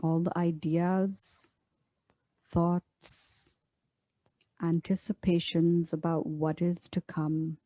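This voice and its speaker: A woman leading a guided meditation as a teacher, speaking in a gentle, soothing, unhurried way with long pauses. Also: fairly low pitch, little pitch movement, no echo.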